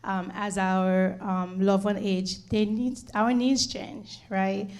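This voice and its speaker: A woman's voice: mid-range voice, level tone, slow, darkish tone, smooth. A middle-aged woman from Haiti with a Haitian accent, a nurse giving an earnest community presentation with many "um"s and repeated words. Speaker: nurse and home care leader.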